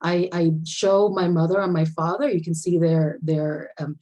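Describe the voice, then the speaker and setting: A woman from Puerto Rico, with Spanish as her first language, presents remotely over a video call. She tells her story in a personal way, often restarting her phrases. Her voice sits a little lower than most women's. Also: steady tone, moderate pace, warm tone, highs cut off. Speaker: conference presenter.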